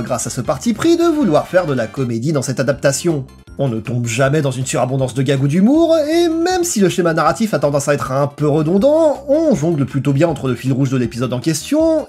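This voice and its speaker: A narrator delivering a scripted video essay in an expressive, emphatic and colloquial way over background music. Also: medium pitch.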